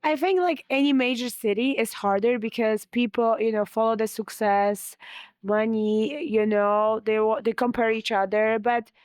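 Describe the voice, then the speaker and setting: A woman's voice: a little high, steady tone, normal speed, a little mellow, no echo. A young woman with a Polish accent, chatting as an interview guest, filling her talk with "you know" and speaking earnestly. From Poland. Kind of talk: casual interview chat.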